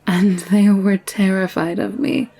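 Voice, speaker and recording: A woman playing an anxious, secretive young alien nurse in an intimate audio drama, confiding in a hushed, hesitant way. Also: mid-range voice, level tone, a little slow, creaky, slightly rough. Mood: confiding, insistent. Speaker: voice actor in audio roleplay.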